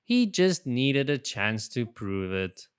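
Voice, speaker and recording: A storybook reader narrating for young children in an expressive, deliberate way. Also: mid-range voice, clear, resonant tone. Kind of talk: animated children's read-aloud narration.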